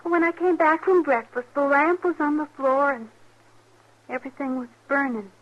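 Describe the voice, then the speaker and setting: A small, persistent frontier girl voiced by an actress speaks in a high, childlike voice. She is blunt, curious and plain-spoken. The sound is a dull, muffled old radio western. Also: moderate pace, lightly acted. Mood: matter-of-fact. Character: blunt, inquisitive orphaned little frontier girl. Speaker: radio drama actor.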